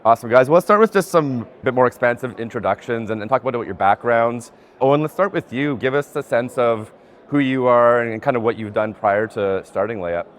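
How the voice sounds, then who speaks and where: A man's voice: medium pitch, fairly quick. A man hosting a podcast, asking questions in a casual in-person interview. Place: indoor event venue, recorded in person.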